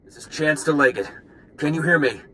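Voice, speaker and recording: Brisk male spy, bright edged voice, clipped and informal, earnest, sci-fi game dialogue. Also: a little high, slight background noise. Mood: weary. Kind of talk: video game voice acting.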